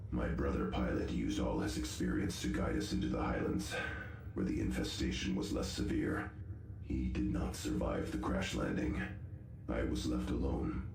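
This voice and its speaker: Very deep gravelly cybernetic space marine. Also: male voice, a little mellow, a little hiss or hum, full character voice. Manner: formal, measured, solemn. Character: grave cybernetic tech-priest space marine, male, stoic survivor. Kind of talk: dramatic sci-fi game acting. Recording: roomy echo, music underneath.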